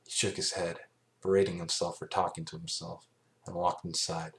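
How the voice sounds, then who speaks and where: A man reading scripted story narration as an amateur, in a halting way, stumbling and rereading phrases. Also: low-pitched, little pitch movement, creaky. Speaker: amateur fan fiction reader.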